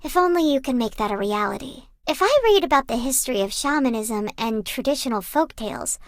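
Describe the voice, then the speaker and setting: A woman playing a gentle, bookish young librarian in a video game, wistful and earnest, like someone telling stories. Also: sits high, lively intonation, brisk, no echo, clean studio sound. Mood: earnest, teasing. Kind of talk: video game character voice lines.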